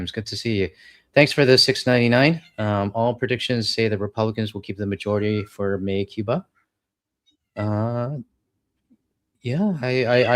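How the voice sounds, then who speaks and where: A man's voice: lowish voice, no echo, clean studio sound. A Canadian man hosting a livestream, reading out donations and thanking viewers in a friendly, hesitant way, often correcting himself. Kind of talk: casual chat, thanking donors.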